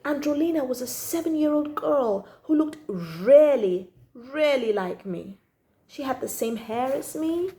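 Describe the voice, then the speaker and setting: A woman's voice: high-pitched, lively intonation, unhurried, warm tone. A woman reading a children's story aloud expressively, drawing out key words for emphasis.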